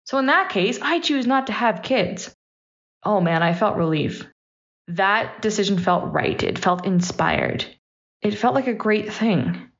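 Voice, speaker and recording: A woman's voice: medium pitch, expressive, measured pace. A woman in her thirties giving a personal, reflective monologue as a podcast host, candid and emphatic, in short punchy sentences. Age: 30s. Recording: dull, slightly lo-fi voice recording.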